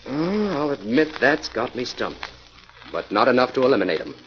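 An actor playing a self-assured, decisive crime-fighting sleuth in a 1940s radio drama, speaking in a brisk, commanding manner. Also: male voice, mid-range voice, very animated, lightly acted. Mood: deliberate, confident. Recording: muffled, noisy 1940s radio recording.

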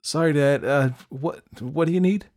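A man's voice: mid-range voice, steady tone, a little slow, pitched above the performer's own voice. A man voicing a smitten, shy teenage girl who stammers hesitantly in an audiobook story. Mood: flustered. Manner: stammering, hesitant, breathy hushed asides.

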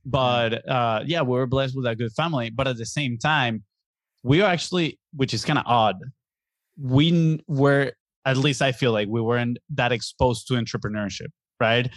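An earnest man in his thirties, originally from Venezuela, laying out his thinking as a podcast guest. He talks at a middling pitch and keeps tagging on "right?". The recording is close and dry. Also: moderate pace, no room sound. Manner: earnest, explaining, often ends points with "right?". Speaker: guest, business co-founder. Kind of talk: casual interview conversation.

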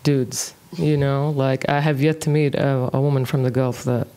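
A relaxed woman in her thirties, speaking as a guest in a conversational interview. She thinks aloud as she goes, pausing on plenty of fillers. Her delivery stays easygoing and unhurried. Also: a bass voice. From Kuwait. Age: 30s. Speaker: interview guest, music producer.

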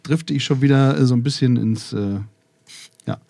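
A man's voice: medium pitch, normal speed, vocal fry, dark tone, no room sound. A man from Germany hosting a live podcast in front of an audience, chatting playfully and drifting off topic. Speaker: podcast host. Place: festival recording room with a live audience.

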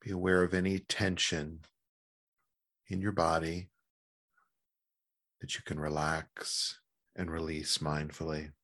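A man leading a guided meditation in a calm, gentle, measured manner with long pauses. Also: a bass voice, no echo, studio quality.

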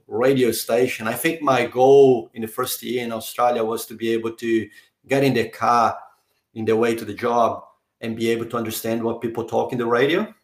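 A man talks through an interview in a halting way. He keeps restarting his sentences while he searches for the right word. His mid-pitched voice carries a roomy echo. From Brazil. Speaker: guest. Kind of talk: conversational interview.

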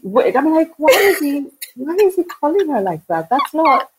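A woman hosting a casual interview, chatting and telling stories in a playful, animated way. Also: sits high, normal speed, background noise. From Nigeria. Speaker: podcast host.

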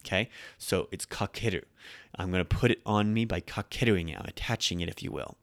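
A man's voice: deep voice, fairly quick, vocal fry, dry recording. A man hosting a casual Japanese lesson, explaining in an upbeat, conversational way. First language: English. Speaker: podcast host teaching Japanese.

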